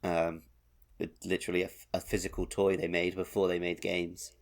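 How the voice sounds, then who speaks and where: A man's voice: low voice, flat delivery, moderate pace. A man co-hosting a gaming podcast, chatting casually and informally. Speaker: podcast co-host. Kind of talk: casual video game podcast chat.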